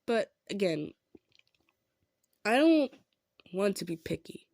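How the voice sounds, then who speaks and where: Kind female centaur, played by a woman: mid-pitched, modest, softly insistent, roleplay delivery straight to the listener, no room sound. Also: very animated, slow, no room sound, clean studio sound. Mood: shy, hopeful. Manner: earnest, hesitant, gentle insisting. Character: kind, humble, self-effacing female centaur. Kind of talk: improvised audio roleplay addressed to the listener.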